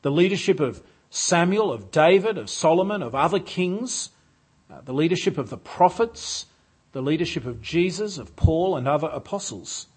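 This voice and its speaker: A man preaching a sermon in a church, measured and unhurried, with frequent "uh" fillers. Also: on the high side, animated, darkish tone, breathy edge.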